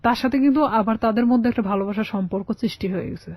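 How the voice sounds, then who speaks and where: Casting: young Bangladeshi Bengali woman, interview guest recounting their life, quick delivery, close dry sound. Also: fairly high pitch, no room sound, highs cut off. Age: young adult. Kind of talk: personal storytelling in a radio interview.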